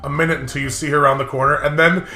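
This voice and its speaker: A man in casual, crude, excitable banter, rambling his way through jokes over a video game. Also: fairly high pitch, very fast, echoey room, crowd noise. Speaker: co-host of a playthrough show. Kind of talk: casual joking banter over a visual novel.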